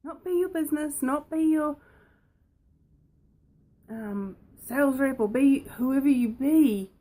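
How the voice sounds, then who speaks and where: Croaky-voiced woman hosting a talk. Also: sits high, slow, warm tone. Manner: playful, repetitive, asks the viewer questions. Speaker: host. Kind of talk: casual talk to camera.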